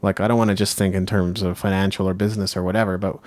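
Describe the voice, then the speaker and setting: A man with a deep voice speaks in a very dry recording as a podcast co-host. He is casual and opinionated, reflecting out loud and rephrasing his points as he goes. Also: flat delivery, rapid-fire, no room sound. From Japan.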